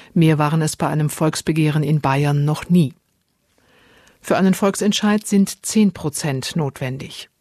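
A woman's voice: lowish voice, a little mellow, dry recording, clean studio sound. A woman reading scripted news bulletins on the radio as a newsreader.

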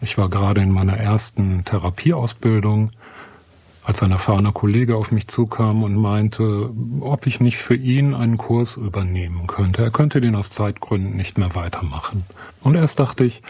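A man's voice: on the low side, moderate pace, dark tone, husky, dry recording. A man in his fifties, a psychotherapist, thoughtfully recalling an experience in an interview. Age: 50s. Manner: thoughtful, storytelling. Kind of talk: interview recollection.

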